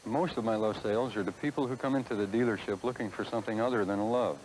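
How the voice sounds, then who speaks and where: A man's voice: lowish voice, fast, darkish tone, a little hiss or hum, poor audio. A man speaking as a salesman, giving a plain, confident scripted testimonial on a 1970s film soundtrack.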